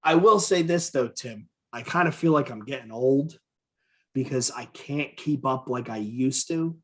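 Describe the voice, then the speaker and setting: A man's voice: mid-range voice, a little slow, a bit bright. A man co-hosting a podcast in casual, profane, enthusiastic chat about what he is a fan of. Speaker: podcast co-host.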